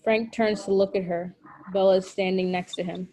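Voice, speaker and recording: A woman reading scripted stage directions at a table read in an even, matter-of-fact way. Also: medium pitch, moderate pace, low-quality recording.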